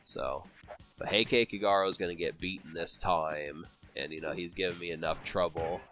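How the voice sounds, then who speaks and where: Low, stuffy-nosed male game commentator. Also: moderate pace, highs cut off, faint music behind. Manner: rambling, many fillers and restarts. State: congested from a cold, sniffling. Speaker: let's play commentator. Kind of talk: casual gameplay commentary.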